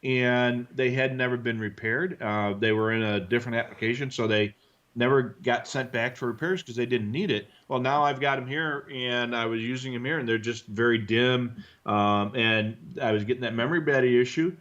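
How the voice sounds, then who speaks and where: A man co-hosting a live stream, explaining things in a conversational, halting way as he answers viewers. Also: medium pitch, fairly bright tone, dull audio. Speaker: co-host answering viewer questions.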